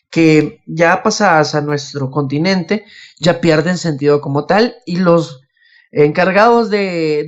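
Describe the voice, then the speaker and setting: A man with a Latin American Spanish accent explaining things in a casual podcast, posing questions and answering them himself, on a lo-fi recording. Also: on the high side. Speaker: podcast host. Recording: dull, lo-fi voice connection.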